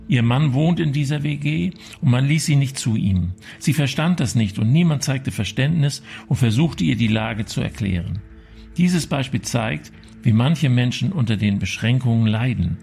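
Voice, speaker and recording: A man from Berlin reading a measured, earnest radio commentary. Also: mid-range voice, creaky, darkish tone. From Berlin, Germany.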